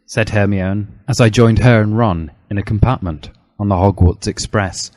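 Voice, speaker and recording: A man's voice: lowish voice, normal speed, darkish tone, no echo. A man narrating a comic dramatic reading of a first-person story.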